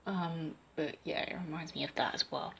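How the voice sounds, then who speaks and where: A young woman giving her opinions in a casual vlog, rambling with fillers and restarts, her voice slightly croaky. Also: sits low, steady tone, moderate pace, a bit bright, a little hiss or hum. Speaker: vlogger.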